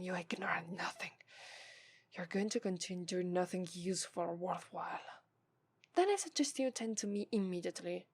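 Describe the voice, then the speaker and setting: A woman's voice: fairly low pitch, darkish tone, no room sound. A woman with an Italian accent voicing a scornful demon femme fatale in an ASMR roleplay, condescending and drawing out her disdain. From Italy. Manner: condescending, drawn-out disdain, breathy asides.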